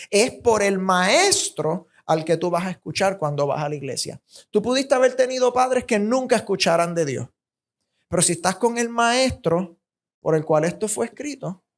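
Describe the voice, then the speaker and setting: Slow-preaching Puerto Rican man. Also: creaky. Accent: Puerto Rican Spanish. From Puerto Rico. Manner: emphatic, rhythmic repetition, rising pitch on key points. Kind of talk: sermon.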